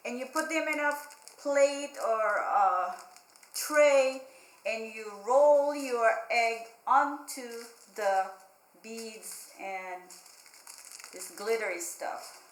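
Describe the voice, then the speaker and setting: A woman's voice: a little high, drawn-out words. A woman hosting a children's library storytime, explaining a craft in simple, repeated phrases and speaking directly to young children. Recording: roomy echo, faint background noise.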